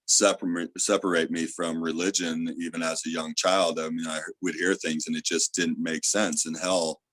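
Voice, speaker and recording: A man with a loud voice, a theta healer, earnestly explaining his spiritual views in a casual interview. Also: low-pitched, flat delivery, normal speed, no echo. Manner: earnest, explaining with many fillers.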